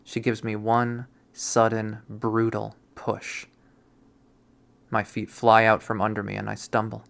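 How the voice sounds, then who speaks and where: A man's voice: on the low side, completely flat delivery, slow, vocal fry, a bit bright. Wry young man reading a story. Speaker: narrator reading a visual novel. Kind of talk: first-person story reading.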